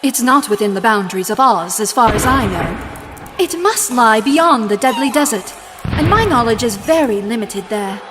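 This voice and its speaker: A woman's voice: on the high side, animated, background noise, lightly acted. An actress playing a kindly, commanding good witch who speaks formally in an audio drama. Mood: grave, explaining. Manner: authoritative, formal, measured. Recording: music under the voice.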